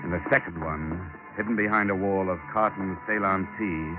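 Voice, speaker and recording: A man's voice: sits low, moderate pace, loud music behind the voice, close to an everyday voice. An actor playing a tough, wisecracking insurance investigator who needles his suspects and narrates his own case, in a 1940s radio drama on a dull old broadcast recording. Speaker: narrator and lead.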